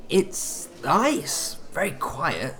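An actor playing a polite, eager newcomer to a village in an audio drama, hesitant and apologetic. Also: male voice, fairly high pitch, wildly swooping pitch, extremely slow, a bit bright, exaggerated voice. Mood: eager, awkward. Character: eager, awkward, polite newcomer husband from London. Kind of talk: naturalistic audio drama acting.

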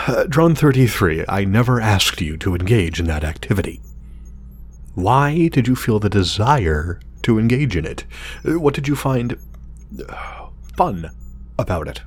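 A man's voice: fairly low pitch, very animated, unhurried, breathy edge, full character voice. An actor playing an irritable, obsessive scientist muttering hesitantly to himself and his drone in an audio drama. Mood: wary, stern. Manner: muttering, self-reassuring, hesitant, swearing.

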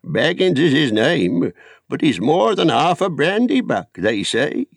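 High, querulous old hobbit gossip. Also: male voice, sing-song, unhurried, gravelly, dry recording, studio quality, exaggerated voice. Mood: suspicious, gossipy. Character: old male village hobbit, nosy, suspicious gossip.

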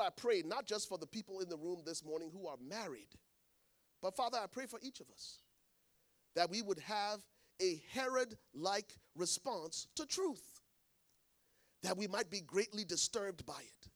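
A man preaching a sermon to a congregation, swinging between hushed lines and shouted ones. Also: fairly high pitch. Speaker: pastor. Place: church in front of a congregation.

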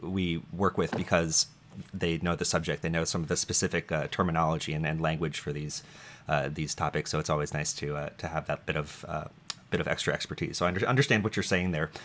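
A man's voice: rumbling low, fairly even intonation, fast talker, a bit bright. A man interviewing an archive director, speaking with many fillers and restarts. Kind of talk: interview conversation.

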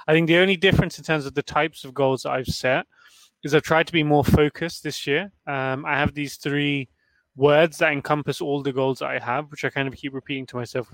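A man in his thirties speaks in a measured, orderly way, pausing on frequent "um"s. His voice is mid-pitched and sounds dry and close. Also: a bit bright, no room sound, poor audio. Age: 30s. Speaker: panel guest. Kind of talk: casual discussion.

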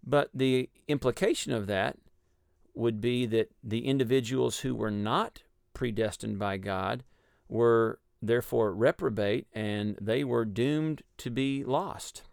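A man with a Texas accent explaining a Bible passage in a measured, teaching way on a radio discussion. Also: mid-range voice, gravelly, clear, resonant tone, dry recording, clean studio sound. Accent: Texan. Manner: measured, explanatory, with frequent ums and uhs.

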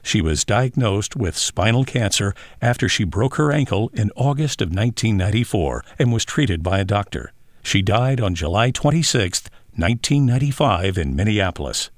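A man's voice: on the low side, expressive, normal speed, dry recording, clean studio sound. A man reading a scripted biographical narration as a narrator.

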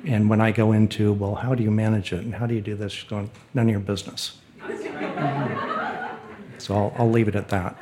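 A man with a low voice speaking unscripted as a panelist, plain-spoken and somewhat halting. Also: level tone, background noise. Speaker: panelist, state park official.

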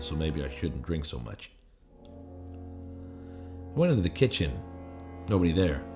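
An actor playing a confused, weary amnesiac in a film noir audio play, halting and repetitive, with hard-boiled phrasing. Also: male voice, a bass voice.

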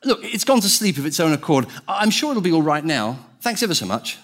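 A nervous storybook ghost, acted out by a man, raised well above his own voice. It is flustered and evasive, swooping about in pitch as it frets. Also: big pitch swings, fast, fairly bright tone, heavily acted. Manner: flustered, polite excuses, whimpering.

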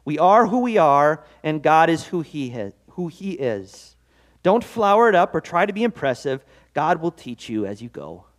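A man's voice: a little high, sing-song, normal speed. A man preaching a devotional sermon as pastor, earnest and conversational, with self-deprecating asides. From Norwegian-American heritage.

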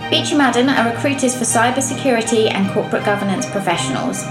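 Female ad voice-over, higher pitch, polished read, loud music bed, roomy echo. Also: fast. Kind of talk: scripted advertisement.

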